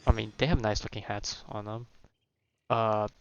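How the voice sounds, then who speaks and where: A man's voice: on the low side, fairly even intonation, normal speed, clear, resonant tone, dry recording, dull audio. A man giving casual, rambling, laid-back live commentary as a game streamer.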